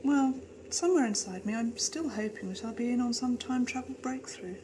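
A woman's voice: fairly high pitch, moderate pace, lightly acted. A woman playing a wry, cynical scientist aboard a spaceship in a science-fiction audio drama, with a dry, sardonic manner. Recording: noisy amateur 1980s audio drama tape.

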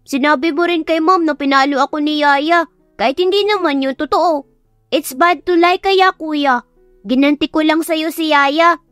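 A woman voicing a cheeky young boy, the youngest brother, in a high childish tone. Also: normal speed, fairly bright tone, no echo, faint music behind. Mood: defiant.

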